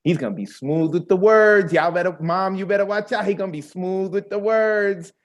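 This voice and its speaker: A man's voice: high-pitched, moderate pace. Hyped Bronx man hosting an open mic. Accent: New York, Bronx. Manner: hyped, friendly, slangy. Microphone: video call.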